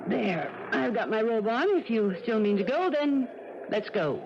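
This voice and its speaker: Casting: sharp-tongued, suspicious loyal wife in vintage radio drama, animated delivery, muffled sound. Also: female voice, on the high side, a little slow. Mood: reluctant, alarmed. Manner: emphatic, dry, dramatic. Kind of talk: 1970s radio drama acting. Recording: muffled, noisy old radio broadcast.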